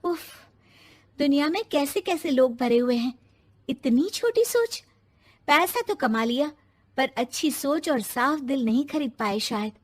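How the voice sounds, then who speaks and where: A woman playing a confident young working wife, firm yet polite, in an animated-style moral story. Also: sits high, a little slow, pitched above the performer's own voice. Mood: indignant.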